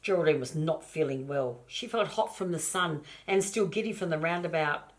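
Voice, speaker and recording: A woman's voice: low voice, normal speed. An older woman, a grandmother, reading a children's storybook aloud expressively and speaking directly to her grandchildren in asides.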